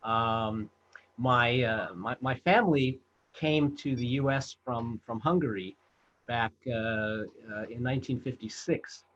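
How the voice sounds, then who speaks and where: A man in his sixties from the United States, a film director telling his story in an interview, halting with many fillers and restarts. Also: medium pitch, a bit bright, lo-fi sound, slight background noise. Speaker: film director and producer, guest.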